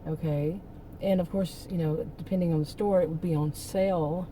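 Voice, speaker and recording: Middle-aged woman vlogger, hoarse, dark low voice, chatty and rambling. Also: fairly even intonation, normal speed, slight background noise, low-quality recording. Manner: rambling, venting, with many restarts. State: hoarse, losing her voice. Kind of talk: casual rambling chat.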